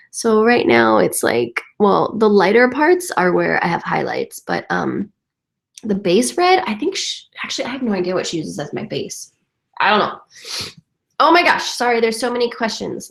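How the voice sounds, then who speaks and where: A young woman talks in a chatty, upbeat way, her voice congested from a cold. She speaks at an ordinary pitch and fills her talk with little fillers. Her voice rings in an echoey room at home. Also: vocal fry. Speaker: vintage lifestyle vlogger. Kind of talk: casual live chat answering comments.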